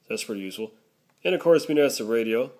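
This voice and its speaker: A man's voice: medium pitch, animated, racing, darkish tone. A man narrating a casual product review, explaining things step by step.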